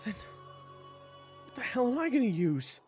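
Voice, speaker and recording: A woman's voice: on the high side, big pitch swings, normal speed, darkish tone, faint music behind. A woman playing a cocky, hot-headed and insecure young swordsman in a fantasy audio drama, with a brash, indignant delivery. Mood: dismayed. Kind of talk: fantasy audio roleplay acting.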